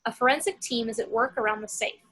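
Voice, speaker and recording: A woman's voice: fairly high pitch, fast talker, a bit bright. A woman reading the action descriptions of a screenplay as a scripted narrator.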